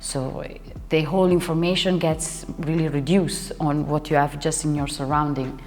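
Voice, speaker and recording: A young woman, a dancer and choreographer, chatting as an interview guest and often pausing to search for words. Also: low-pitched, steady tone, darkish tone. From Italy. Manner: searching for words, restarts sentences, laughs often. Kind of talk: casual interview talk. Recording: hall reverb with faint music behind.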